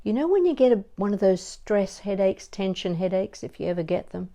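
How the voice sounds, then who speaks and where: An older woman giving a conversational demonstration as a voice coach, deliberate and emphatic, pausing between short phrases. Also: mid-range voice, lively intonation, dark tone.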